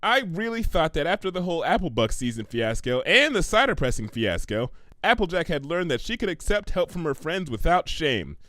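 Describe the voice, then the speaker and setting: A man's voice: a little high, big pitch swings, a little fast, fairly bright tone, dry recording, voice pushed up. A man voicing an earnest, bookish female unicorn pony who is exasperated and authoritative, speaking in a wordy, lecturing, emphatic way.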